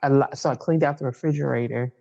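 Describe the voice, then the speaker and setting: Mellow-voiced podcast co-host on a muffled line. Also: medium pitch, steady tone, fast, vocal fry. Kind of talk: casual chat.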